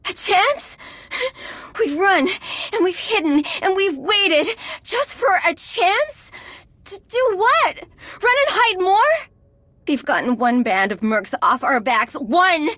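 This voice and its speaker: A woman's voice: very high-pitched, expressive, takes it slowly, a bit bright, no room sound, full character voice. A woman playing an anxious young fugitive inventor in a video game, earnest and emotional. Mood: distraught.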